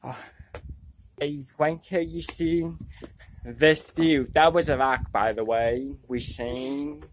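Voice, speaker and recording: A man's voice: mid-range voice, steady tone, slow, slight background noise, rough recording. A man in his twenties chatting casually to viewers as he vlogs on a nature trail, recorded outdoors on a lapel mic. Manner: addresses viewers casually as y'all. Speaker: vlogger. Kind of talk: casual outdoor vlog chat. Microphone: small clip-on lapel mic.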